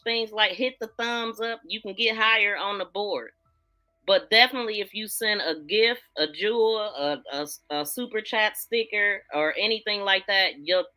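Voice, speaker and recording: Casting: American woman, informal livestream host, chatty commentary, bright forward voice, faint music underneath. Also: mid-range voice. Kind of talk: casual livestream commentary, reacting to played audio.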